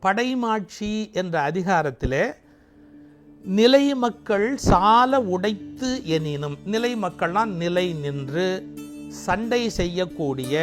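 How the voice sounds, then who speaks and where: A man with a high voice explains classical verse like a storyteller. He recites lines with emphasis and poses rhetorical questions, with music clearly behind him.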